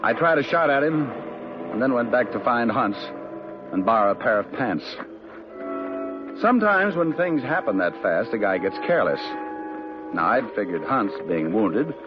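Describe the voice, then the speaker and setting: A man's voice: mid-range voice, big pitch swings, normal speed, long pauses, over music, natural voice. An actor playing a wry, wisecracking private detective who narrates his own case in an old radio play. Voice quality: dry, wry delivery. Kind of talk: 1940s radio acting. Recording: muffled old radio broadcast.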